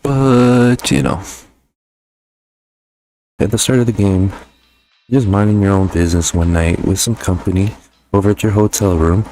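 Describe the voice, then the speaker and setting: A man's voice: fairly low pitch, fairly even intonation, normal speed, long pauses, dark tone, faint music behind. A man giving a casual, conversational video game review voice-over in slangy, sometimes profane language.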